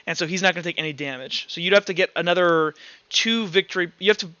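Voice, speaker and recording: A man's voice: high-pitched, fast talker, sharp tone, no echo, dull audio. A man casually running a tabletop roleplaying game, rambling through rules and muttering as he counts and looks things up. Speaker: game master. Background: background music.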